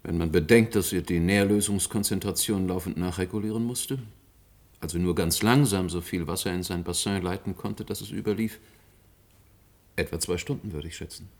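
A man's voice: deep voice, moderate pace, darkish tone. An actor playing a firm, formal male expert witness who argues precisely and insistently in a German radio play. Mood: reasoning. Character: firm, indignant male expert witness arguing before inquiry.

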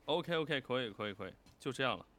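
A man's voice: medium pitch, expressive, fast, fairly bright tone, no echo. A man chatting casually and jokingly as a guest on a livestream. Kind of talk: casual chat.

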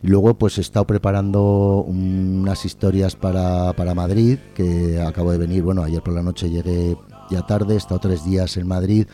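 A man's voice: low-pitched, flat delivery, normal speed, warm tone. A man talking casually as an interview guest, colloquial and wryly joking. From Aragon, Spain. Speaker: interview guest, artist and musician. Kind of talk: casual chat.

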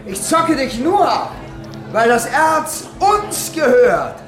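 Commanding radio-play narrator over music. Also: male voice, very high-pitched, sing-song, takes it slowly, heavily acted. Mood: furious, shouting. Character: domineering, territorial male narrator of a seedy city. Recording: music behind the voice.

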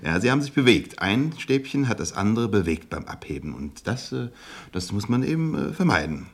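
Radio drama role: ingratiating bachelor businessman, low husky voice that swings widely, hesitant and gushing. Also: big pitch swings, a little fast. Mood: jovial, coaxing. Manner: gushing, hesitant, chuckling between phrases. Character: effusive, ingratiating bachelor businessman, clumsy flatterer. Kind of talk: naturalistic German radio drama acting.

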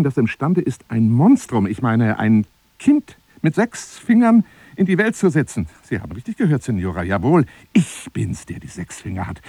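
Obsessive male phone seducer, radio drama, dark husky voice, widely swinging intonation, grandiose, wounded, menacing, florid formal phrasing. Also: on the low side, no room sound, exaggerated voice. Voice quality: husky, breathy, often dropping to a whisper. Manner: theatrical, florid, formal address, swinging from pleading to scornful.

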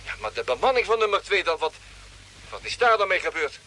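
An inquisitive male astronaut in a vintage radio play. The voice sits high and has a forceful, bright edge. He talks colloquially and questioningly, with faint noise on the old recording. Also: sing-song, fairly quick, exaggerated voice. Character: inquisitive, plain-spoken male astronaut crewman. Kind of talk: old Dutch science fiction radio drama acting.

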